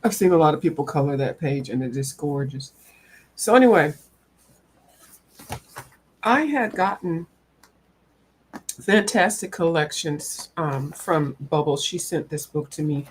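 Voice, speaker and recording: Low-voiced woman, noisy recording. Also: expressive, measured pace, pauses often. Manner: folksy, conversational, rambling. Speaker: coloring channel host. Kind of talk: casual chat to viewers about coloring books.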